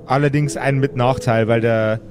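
A man's voice: medium pitch, steady tone, moderate pace, over music. A man narrating an improvised tabletop game as game master, searching for words and correcting himself as he describes things.

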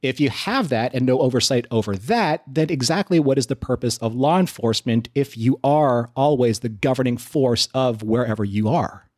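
A man co-hosting a casual discussion podcast, laying out long, measured, analytical arguments. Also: mid-range voice, animated, a little mellow. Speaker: podcast co-host.

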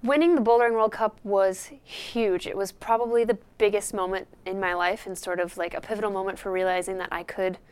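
A woman's voice: mid-range voice, fairly even intonation, fairly quick, few pauses, a little mellow, clean tone. A woman climber answering an interview question in an earnest, reflective way.

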